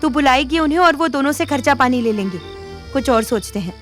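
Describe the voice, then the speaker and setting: A woman's voice: high-pitched, animated, brisk. An actress playing a bold, streetwise young woman in an audio drama, with a bossy, sardonic, teasing manner. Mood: scornful. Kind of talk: Hindi audio drama acting. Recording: music under the voice. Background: background music.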